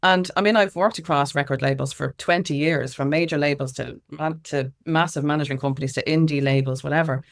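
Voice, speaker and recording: A woman's voice: deep voice, fairly even intonation, brisk, vocal fry, few pauses. Emphatic middle-aged Irish woman in conversation. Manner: emphatic, repeats phrases for weight. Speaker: interview guest, music consultant and activist. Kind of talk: conversational interview, explaining.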